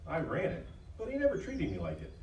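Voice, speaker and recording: Male actor, insecure younger brother, higher voice, wildly swinging intonation, echoey stage, audio drama. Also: wildly swooping pitch, brisk, darkish tone. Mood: heartfelt. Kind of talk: live audio drama performance. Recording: echoey live stage, distant, faint noise.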